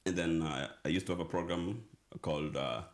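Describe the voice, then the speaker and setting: A man's voice: sits low, brisk. A Kenyan man in his thirties with a Kenyan accent, a guest and former radio manager, telling his story in a casual interview with many restarts. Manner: rambling with restarts, mixing in Swahili phrases. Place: community show studio, Australia.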